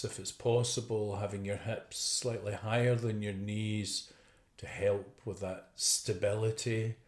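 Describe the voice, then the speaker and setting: A man with a fairly low voice leads a guided meditation. He speaks very slowly and gently, leaving long pauses between phrases. The recording is roomy and echoey, like a video call made indoors. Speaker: meditation teacher. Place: indoor room.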